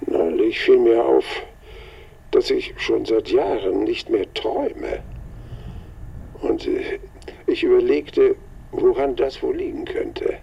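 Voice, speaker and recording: A man playing a pensive, ageing narrator in a radio play, gravelly and husky, reflective and understated. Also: medium pitch, warm tone, lo-fi sound, heavily acted. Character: pensive, ageing man musing on dreams and death.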